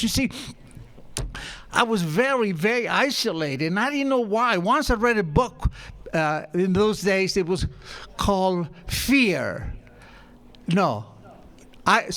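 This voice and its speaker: A man's voice: high-pitched, a bit bright. An older man from Argentina with a thick Argentine Spanish accent, telling his life story to an audience in a humorous, self-mocking way. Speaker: recovery meeting speaker.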